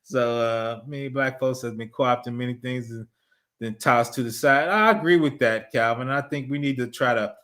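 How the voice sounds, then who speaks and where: A man's voice: mid-range voice, moderate pace, fairly bright tone. Man, 50s, casual livestream host, frequent laughter, rambling. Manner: laid-back, laughs often, rambling asides. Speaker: livestream host reading super chats.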